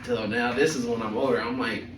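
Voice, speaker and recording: A man's voice: mid-range voice, steady tone, brisk. A young adult man telling personal stories casually, with slangy, profane phrasing and a chuckling manner. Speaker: storyteller host of a personal podcast. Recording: echoey room, distant mic, faint noise.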